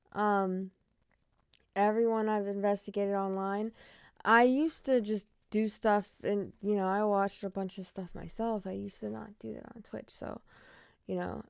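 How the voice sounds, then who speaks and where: A woman in her thirties chatting casually on a stream, rambling with frequent laughs and asides, sometimes dropping to a soft murmur. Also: medium pitch, gravelly, clear, resonant tone. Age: 30s. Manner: drops to a soft murmur when talking to her pet. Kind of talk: casual stream chat reacting to a true crime case.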